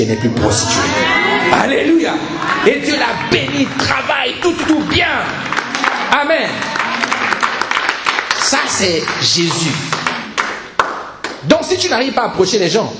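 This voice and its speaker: A man's voice: on the high side, heavy background noise. Preaching man in a roomy, echoing space. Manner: animated storytelling with repetition for emphasis. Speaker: pastor preaching. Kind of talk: Sunday church sermon, storytelling exhortation and call to prayer. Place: indoor church service. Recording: roomy church sound with music.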